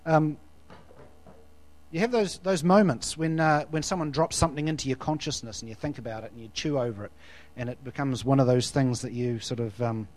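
A man's voice: mid-range voice, fairly quick. A New Zealand man in his forties, a guest preacher in church, who talks in a hesitant, self-deprecating way and often restarts his phrases. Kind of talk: church interview and sermon.

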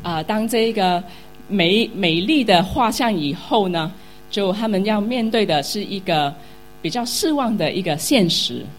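A woman's voice: mid-range voice, animated, moderate pace, a little hiss or hum. A woman interpreting a sermon into Mandarin, which is not her first language, hesitant and full of fillers.

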